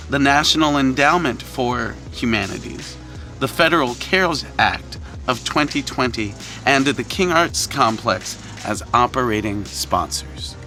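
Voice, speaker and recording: A man, the director and producer, reading scripted closing credits in a measured, formal way with playful touches. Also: medium pitch, bright, forceful tone, soft background music.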